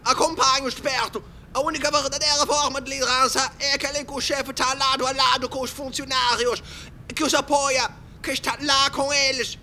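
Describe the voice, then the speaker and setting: A man's voice: very high-pitched, sharp tone, a little hiss or hum, heavily acted. A man playing a pompous, chummy factory director in a comedy sketch, grandiose and over-friendly. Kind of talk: exaggerated comedy sketch acting.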